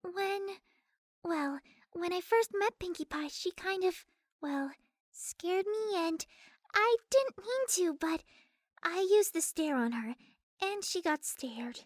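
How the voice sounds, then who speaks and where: A woman voicing a gentle, timid young cartoon pegasus pony in a hesitant, earnest way. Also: extremely high pitch, animated, no echo, studio quality, full character voice. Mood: awkward, apologetic. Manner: hesitant, halting, softly earnest.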